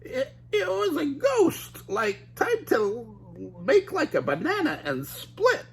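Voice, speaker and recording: Goofy cowardly young man, whiny falsetto, always hungry, storybook voice, wildly swooping. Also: huge pitch swings, normal speed, fairly bright tone, heavily acted. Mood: scared. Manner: high, strained falsetto, jittery and whiny.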